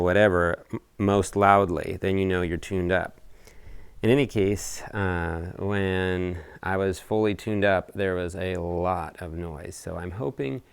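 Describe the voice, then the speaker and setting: A man presenting a casual explanatory hobby video update outdoors, talking in deliberate, measured phrases. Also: low voice, clean tone, no room sound. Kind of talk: casual explanatory vlog talk. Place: outdoors on a house deck.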